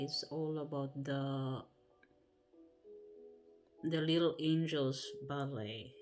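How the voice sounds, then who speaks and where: Woman reading aloud with a Filipino accent. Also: sits low. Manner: careful, halting reading with self-corrections. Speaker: livestream host. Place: at home before bed. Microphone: livestream setup.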